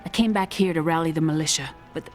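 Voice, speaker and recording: A woman's voice: sits low, fast, soft background music, natural voice. An actress playing an earnest young woman who survived an attack, speaking plainly and steadily in a video game. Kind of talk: video game dialogue voice acting.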